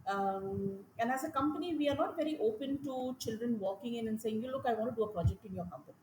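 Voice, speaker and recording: A woman with an Indian English accent speaks as a teacher in a conversational interview, stressing her points with animated repetition. Her voice sits fairly high. The room is echoey, with faint ambient noise behind her. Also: quick pace. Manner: animated, emphatic, repeats points. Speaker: teacher, podcast guest.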